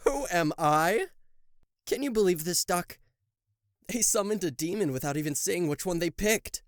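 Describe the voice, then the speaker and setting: Guy doing a cocky sarcastic demon king, kinda high voice, showy, roleplay audio, super dry close sound. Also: animated, moderate pace, no room sound. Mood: exasperated, mocking. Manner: sarcastic, showy, stammering in disbelief. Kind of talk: roleplay audio drama acting addressed to the listener.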